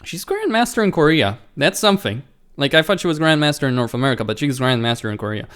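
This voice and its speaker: A man's voice: on the high side, animated, fast, fairly bright tone, clear, resonant tone. A man hosting a let's play, reading a visual novel aloud and stumbling over words now and then, with casual side comments.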